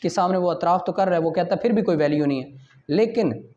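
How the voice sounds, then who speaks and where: A man with a Pakistani accent lecturing law students, reading formal passages slowly and deliberately, then explaining them didactically. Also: high-pitched, warm tone, highs cut off.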